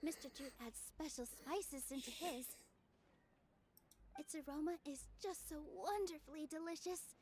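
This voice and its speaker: A woman voicing a gentle, polite young female dancer who speaks softly and apologetically. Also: high-pitched, measured pace, slight background noise. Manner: soft-spoken, apologetic and courteous.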